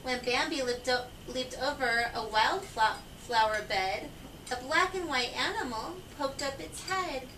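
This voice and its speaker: Woman, slow-paced read-aloud storyteller for kids, asks the listeners questions; recorded far off in an echoey room. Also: sits high, pushed and bright, slight background noise. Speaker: reader of a children's picture book.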